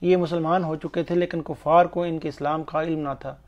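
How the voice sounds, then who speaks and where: Guy narrating islamic history, kinda high mellow voice, formal, muffled lo-fi audio. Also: flat delivery, a little fast. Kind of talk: scripted religious history narration. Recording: dull, muffled lo-fi voice-over.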